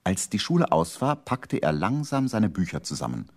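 A man with a husky voice narrating a scripted children's story for a radio play. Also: low-pitched, big pitch swings, moderate pace.

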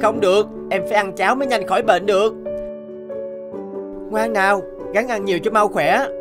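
A man's voice: sits high, fairly even intonation, normal speed, over music, natural voice. A man voicing a caring, hardworking orphan boy in a cartoon, an earnest and tender big brother. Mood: tender, coaxing.

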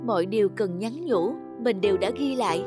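An actor voicing a devoted, anguished young peasant son in an animated folk tale, earnest and pleading. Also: mid-range voice, fairly quick, loud music behind the voice, lightly acted. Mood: despairing.

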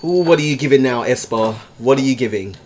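A man's voice: medium pitch, lively intonation, quick pace, darkish tone, a little hiss or hum. A young man hosting a music video reaction from his bedroom, sassy and exclamatory, swearing freely. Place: bedroom at home.

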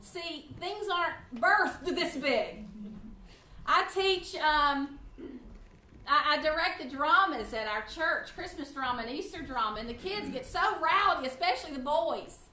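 Loud, high-voiced woman in her fifties. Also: a little slow. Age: 50s. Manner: loud, animated, chatty with the room. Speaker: guest Bible class teacher. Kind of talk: church class talk. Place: church classroom with seated audience. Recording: roomy echo, faint room noise.

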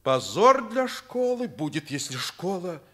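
An actor playing a stern but passionate middle-aged school director, earnest and insistent, in a Soviet radio drama. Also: male voice, high voice, very animated, unhurried, a bit bright, full character voice. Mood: earnest pleading. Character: stern, passionate male school director defending his pupil.

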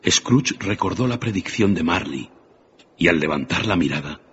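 A man narrating a radio drama as a grave storyteller, measured and dramatic. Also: deep voice, animated, fairly bright tone, a little hiss or hum. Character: grave storyteller of a ghost tale. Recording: dull radio recording, music behind.

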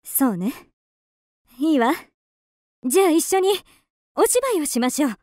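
A woman's voice: sits high, big pitch swings, unhurried, darkish tone, no room sound, studio quality. An actress playing a lonely, dreamy woman lost in romantic fantasy, with polite, theatrical delivery in a radio drama. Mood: wistful, yearning.

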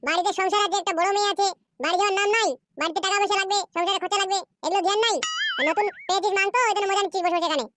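A high-voiced performer playing furious scorned wives in a Bengali comedy cartoon, ranting and scolding in a shrill, pitched-up childlike voice. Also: fairly even intonation, very fast, a bit bright. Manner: scolding, foul-mouthed, ranting.

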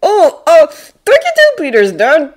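A woman's voice: high voice, huge pitch swings, measured pace, pitched above the performer's own voice, heavily acted. A woman voicing a friendly, talkative teenage boy in story dialogue, chatty and polite. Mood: cheerful, grateful. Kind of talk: acted audiobook-style story dialogue.

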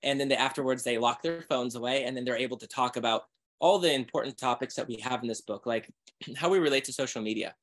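Energetic man author pitching his book. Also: quick pace. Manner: energetic, promotional. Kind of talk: webinar conversation. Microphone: video call.

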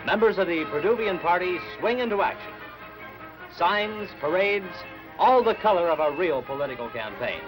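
A man reading scripted 1960s newsreel narration in a measured, formal announcer style. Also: high voice, animated, poor audio. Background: background music.

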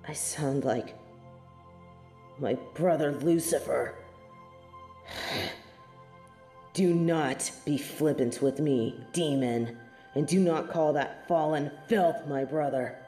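A woman's voice: low-pitched, slow, pauses often, warm tone, roomy sound, faint music behind. A woman playing a snobby, haughty female angel in an audio roleplay, speaking in a pompous, scornful, formal way. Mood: cold, offended. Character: snobby, haughty tsundere female angel guarding heaven's gates. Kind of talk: scripted audio roleplay acting.

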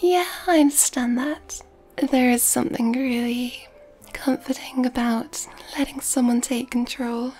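A woman playing a nurturing, gently dominant, affectionate girlfriend in an ASMR roleplay, in a soft breathy whisper. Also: a little high, fairly even intonation, measured pace, soft background music. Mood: tender, reassuring.

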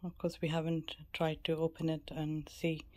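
Low, flat-toned woman giving a casual tour. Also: moderate pace. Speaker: travel vlogger. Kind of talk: casual cabin tour narration. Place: mostly a small cruise ship cabin. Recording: clear background ambient noise.

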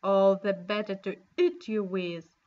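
Woman doing a sly scheming storybook wolf, lowered voice, slow, dull lo-fi sound. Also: steady tone, darkish tone, close to an everyday voice. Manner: sweet-talking, scheming. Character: cunning sly male wolf.

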